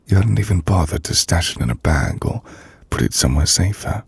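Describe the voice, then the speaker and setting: Guy doing an ancient vampire lord for asmr roleplay, super low breathy voice, formal and dry, brooding but courteous, close and dead dry sound. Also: no room sound, exaggerated voice. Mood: gentle, curious. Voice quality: gravelly, breathy, near-whispered. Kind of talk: intimate ASMR roleplay acting.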